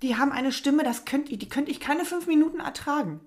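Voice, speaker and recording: Eine Frau mit leichtem Lispeln, die als Co-Host offen und locker plaudert und dabei oft lacht. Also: female voice, sits high, a little fast. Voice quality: slight lisp. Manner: frank, chatty, laughs often. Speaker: podcast co-host. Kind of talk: casual chat.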